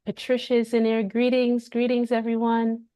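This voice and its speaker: A woman's voice: a little high, monotone, normal speed, dark tone, clear, resonant tone, no echo. A woman hosting a casual livestream, talking in a rambling way with many restarts and fillers. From Canada. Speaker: livestream host.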